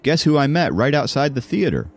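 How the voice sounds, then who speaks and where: A man's voice: fairly high pitch, very animated, a little fast, voice pushed up. A man voicing a proud, wry teenage schoolboy in an audiobook. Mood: amused storytelling. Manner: wry, boastful. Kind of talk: audiobook character voice.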